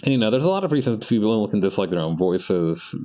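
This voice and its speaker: A man's voice: lowish voice, sing-song, fairly quick, mellow. A man streaming his art, chatting casually and rambling to himself as he works, often restarting his sentences. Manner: rambling, self-correcting, talks to himself as he works. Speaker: artist streaming his work. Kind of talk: casual live-stream chat while drawing.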